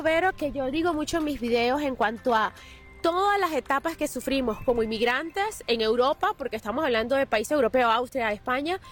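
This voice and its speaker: A young woman, hosting a vlog interview in a city park in an upbeat, chatty way with a handheld mic. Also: high-pitched. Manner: upbeat, chatty, many quick backchannels. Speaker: host.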